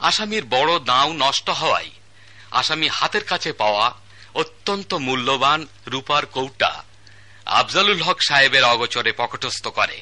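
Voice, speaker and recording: A stern formal magistrate. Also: male voice, mid-range voice, expressive, moderate pace, bright, forceful tone. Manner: formal, archaic court diction, calls order. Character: stern male magistrate passing sentence. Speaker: judge. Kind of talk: Bangladeshi state radio drama acting.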